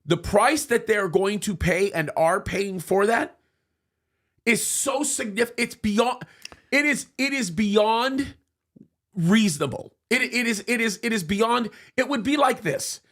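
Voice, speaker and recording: A man's voice: high voice, unhurried, a bit bright. A man in a studio talk show, making a heated argument with emphatic restarts and repeated words. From United States, of Egyptian parents.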